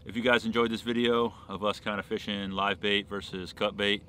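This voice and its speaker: A young man from Florida with a low, deep voice talks upbeat and straight to camera from a small open boat. The sound comes through an action camera strapped to his chest, with a faint hum of engine and water behind him. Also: level tone, a little fast, fairly bright tone. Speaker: fishing vlogger. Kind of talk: casual outdoor vlog. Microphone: chest-mounted action camera.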